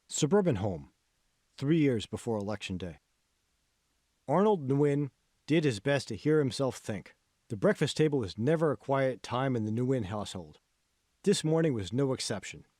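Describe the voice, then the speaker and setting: Guy narrating an audiobook, measured storytelling, mid-range voice, really dry recording. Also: mid-range voice, big pitch swings, darkish tone, no echo. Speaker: author reading his own audiobook. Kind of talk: scripted narration.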